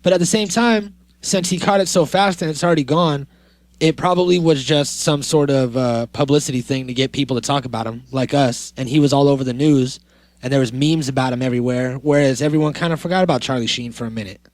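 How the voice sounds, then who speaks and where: A man's voice: mid-range voice, fast, no room sound. A man co-hosting a podcast, chatting casually in a rambling, offhand way. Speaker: podcast co-host. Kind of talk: casual chat.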